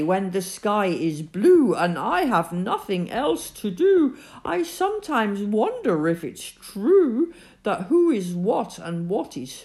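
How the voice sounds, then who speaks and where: A gentle, slow-witted storybook bear, as a woman reading aloud puts him on, ponderous and earnest. He muses at a very slow pace with a lilting voice. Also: a little high, heavily acted.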